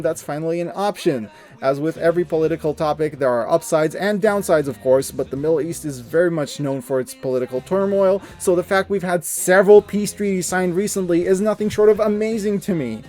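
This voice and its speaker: A man in his thirties giving an earnest, expressive, scripted personal narration, with emphatic delivery. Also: on the high side. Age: 30s. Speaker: video creator and narrator. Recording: music under the voice. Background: background music.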